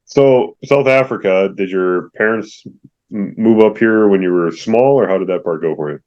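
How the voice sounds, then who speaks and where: A man's voice: on the low side, moderate pace, a little mellow. A man hosting an interview podcast, friendly and affirming, with many fillers. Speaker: podcast host. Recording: slightly dull lo-fi audio.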